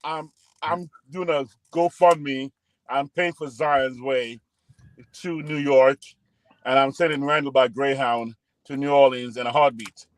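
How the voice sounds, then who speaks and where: A man's voice: mid-range voice, fairly even intonation, unhurried, no room sound, rough recording. A man co-hosting a podcast, rambling and joking through a casual sports debate over a call and talking over others. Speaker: podcast co-host.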